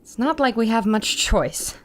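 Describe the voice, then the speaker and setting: A woman's voice: on the high side, animated, measured pace. A woman playing a spirited, wry radio reporter narrating adventures from the scene, in old-time serial style. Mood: relieved. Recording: clear background noise of vehicles, insects.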